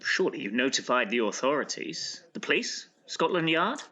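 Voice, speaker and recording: An actor playing a proper, dryly teasing but concerned gentleman friend in an audio drama, with old-fashioned polite diction. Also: medium pitch, very animated, moderate pace. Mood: puzzled, concerned.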